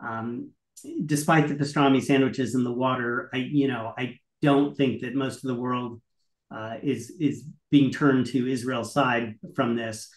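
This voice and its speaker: A middle-aged man, a guest commentator on a video call, frustrated but measured. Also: mid-range voice, fairly even intonation. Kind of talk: political commentary chat. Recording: roomy video call audio.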